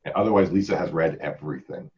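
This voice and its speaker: A man with a very deep voice chats casually as a podcast host. He talks quickly and at length, rambling from point to point. The sound is slightly dull. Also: slight background noise. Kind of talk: casual group podcast chat.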